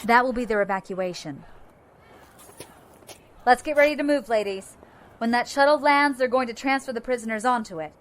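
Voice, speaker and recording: An actress playing a confident squad captain in a science fiction audio drama, brisk and commanding. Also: female voice, on the high side, close to an everyday voice. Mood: brisk, focused.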